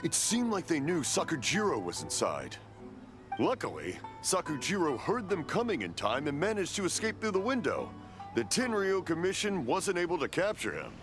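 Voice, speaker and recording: A man's voice: on the high side, huge pitch swings, normal speed. An actor playing an older fireworks-maker father who urgently reports trouble. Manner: urgent, reporting bad news. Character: aging fireworks-maker dad, anxious and earnest. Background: game music.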